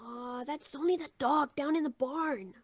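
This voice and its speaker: A woman voicing an excitable, mischievous young American child in an old-time radio drama, breathless and eager. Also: high voice, measured pace, heavy background noise. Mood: sleepy, whispery. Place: small home recording booth. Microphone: close studio microphone.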